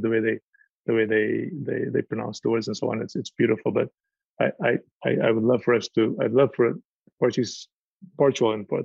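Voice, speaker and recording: A man's voice: mid-range voice, vocal fry, husky, no room sound. A man on a conference panel who talks with passion and often stammers and repeats words. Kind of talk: panel discussion. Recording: dull, dry, lo-fi sound.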